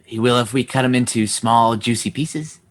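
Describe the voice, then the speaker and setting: A man's voice: medium pitch, moderate pace, lightly acted. A man playing a gleefully cruel, mocking child prankster in comedy radio theatre, with a scornful, taunting manner. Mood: menacing, taunting.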